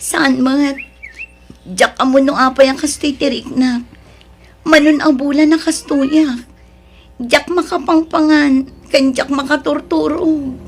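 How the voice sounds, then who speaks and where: A woman's voice: high-pitched, fairly even intonation, measured pace, long pauses. A woman acting a worn-down, sorrowful wife and mother in an audio drama, plaintive and often tearful. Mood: anxious, tearful.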